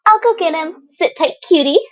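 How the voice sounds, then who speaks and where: A woman's voice: very high voice, animated, normal speed, pitched above the performer's own voice. A woman voicing a gleefully cheerful, teasing teenage villain girl in a fan fiction audio story. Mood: playful. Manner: bubbly, giggly, teasing. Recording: dull lo-fi home recording.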